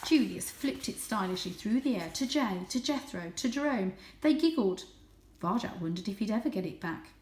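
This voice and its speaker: Expressive woman storyteller in echoey room. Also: mid-range voice, normal speed. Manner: dramatic, suspenseful. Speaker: storyteller, host of read-aloud series. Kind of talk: expressive children's audiobook narration.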